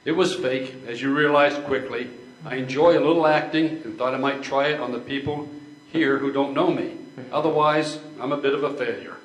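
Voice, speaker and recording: Self-deprecating gentleman played by an older man, echoey room. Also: mid-range voice, steady tone, moderate pace, natural voice. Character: self-deprecating genteel would-be actor, a man. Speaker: amateur readers theater performer. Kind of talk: stage play reading.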